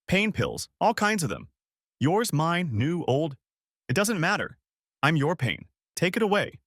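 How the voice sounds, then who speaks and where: Man voicing a distraught young wife, frantic, sobbing, pleading, high-pitched, wildly swinging voice. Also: big pitch swings, unhurried, a bit bright, no room sound, studio quality, heavily acted. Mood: giggling.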